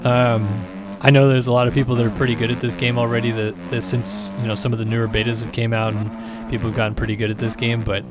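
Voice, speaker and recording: A man hosting a gaming channel, talking through gameplay in a casual, rambling way, his voice raspy and tired. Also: mid-range voice, level tone, quick pace, heavy background noise. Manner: rambling, filler-heavy, easygoing.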